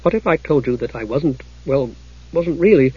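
A young woman thief from a fantasy audiobook, played by a man, defiant and quick to heat up. The voice is earnest and defensive, with a husky sound. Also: mid-range voice, expressive, lo-fi sound. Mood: uncomfortable.

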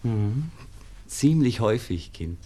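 An actor playing a charming but evasive young Viennese lover in a radio play, gentle and courteous, with a Viennese accent. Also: male voice, fairly low pitch, lively intonation, takes it slowly. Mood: tender, evasive. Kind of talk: 1960s German radio play acting. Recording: 1960s radio studio recording.

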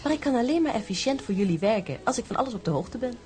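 Woman acting a coquettish, lively young journalist. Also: on the high side, racing, soft background music, lightly acted. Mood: insistent. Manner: coquettish, pouting, insistent. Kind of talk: Dutch radio drama acting.

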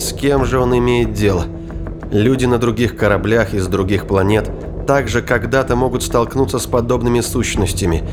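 A man's voice: lowish voice, noisy background. A man giving scripted dramatic narration as the narrator of an audio play. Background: music.

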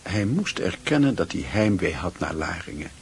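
A man's voice: deep voice, very animated, normal speed, slight background noise. A man narrating a literary radio drama, reflecting on a schoolboy's story as a scripted storyteller. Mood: reflective.

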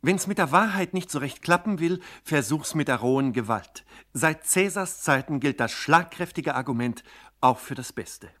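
A man's voice: fairly high pitch, expressive, normal speed, bright, forceful tone. An actor playing a cynical gangster grandly reciting his memoirs in a 1960 German radio satire. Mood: grand, sententious.

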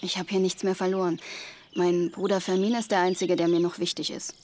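An actress playing a disillusioned, melancholy widow back from exile in a German radio crime drama, speaking wistfully. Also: female voice, lowish voice, flat delivery, fairly quick, darkish tone, a little hiss or hum, lightly acted. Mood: bitter.

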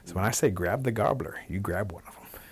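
A man's voice: sits low, brisk, husky. A man voicing a sly, scrawny trickster rabbit in an oral folktale, coaxing and bossy with emphatic delivery. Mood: bossy, assuring.

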